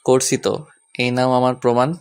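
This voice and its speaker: A man's voice: mid-range voice, completely flat delivery, normal speed, smooth, close to an everyday voice. A man playing a mischievous, doting young husband who teases and coaxes in a romantic audio story. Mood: teasing. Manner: teasing, coaxing.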